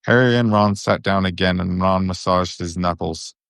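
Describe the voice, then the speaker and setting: A man's voice: deep voice, moderate pace, creaky, fairly bright tone, smooth, no echo, clean studio sound. A man narrating a storybook for bedtime in an informal, occasionally stumbling way.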